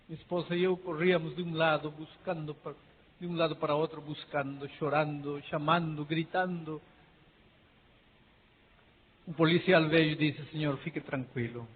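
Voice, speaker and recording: A middle-aged man from Peru, a pastor with a Peruvian Spanish accent, preaching an impassioned sermon that swings from soft pleading to near shouting. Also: fairly high pitch, a little hiss or hum. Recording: tinny lo-fi sound in a reverberant hall.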